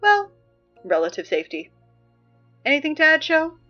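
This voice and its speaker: A woman acting as an exasperated, wry high school student reading morning announcements in an apocalypse audio drama, halting and conversational. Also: wildly swooping pitch, dull audio. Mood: wry, chatty. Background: music.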